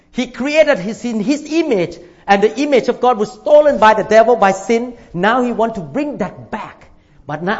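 A man, from Thailand, preaching a sermon as a pastor, emphatic and repeating his points while calling for amens from the congregation. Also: high voice. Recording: dull lo-fi recording.

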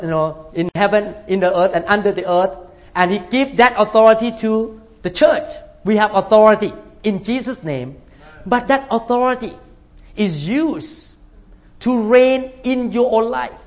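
A man, from Thailand, preaching a sermon as a pastor, emphatic and repeating his points while calling for amens from the congregation. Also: high-pitched. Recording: dull lo-fi recording.

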